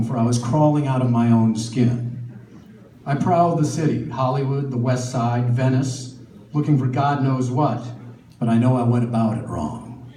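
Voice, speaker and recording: A man's voice: medium pitch, mellow. A middle-aged American man reading his own personal memoir aloud at a live literary reading. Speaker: author reading from own book. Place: live event in a gallery. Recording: echoey live room, distant mic, faint noise.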